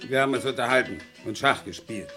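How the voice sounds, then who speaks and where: An actor playing a grumpy, defiant old war veteran in a German radio crime drama, gruff and indignant. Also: male voice, mid-range voice, fast, pushed and bright, soft background music, close to an everyday voice. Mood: curt.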